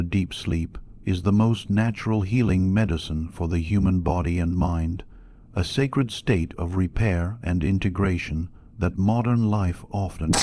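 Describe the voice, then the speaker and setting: Male meditation guide, deep register, unhurried and soothing scripted delivery for sleep narration. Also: darkish tone, no echo. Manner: measured, instructional, soothing delivery.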